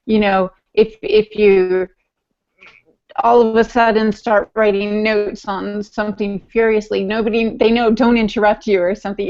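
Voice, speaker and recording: A woman's voice: medium pitch, darkish tone. A woman co-hosting a writing podcast, chatting eagerly with plenty of fillers. Her voice sits in an ordinary middle range. The sound is a bit dull and lo-fi. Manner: chatty and enthusiastic, with fillers and quick bursts. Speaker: podcast co-host. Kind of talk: casual podcast chat.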